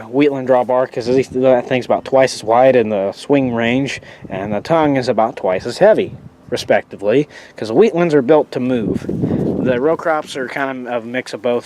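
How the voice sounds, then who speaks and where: A man showing an old tractor and rambling through his restoration plans, a little sniffly, with restarts and asides as he works outdoors. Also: mid-range voice. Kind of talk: casual walkaround vlog. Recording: noisy outdoor handheld recording.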